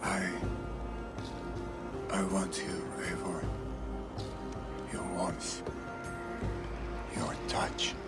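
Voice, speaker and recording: Slow, solemn young Norse warrior. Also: male voice, low voice, little pitch movement. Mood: tender, intimate. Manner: solemn, measured, heartfelt. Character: grieving young Norse warrior, jarl's son, earnest and tender. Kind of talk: dramatic video game acting. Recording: music behind the voice.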